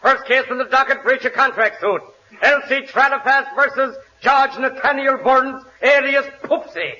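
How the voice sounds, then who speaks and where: Court crier, very high piercing voice, officious, formal, old radio comedy, muffled. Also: male voice, moderate pace, pushed and bright, exaggerated voice. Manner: calling out announcements formally. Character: shrill, officious male court bailiff. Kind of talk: 1940s radio comedy acting. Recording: muffled 1940 radio recording with hiss.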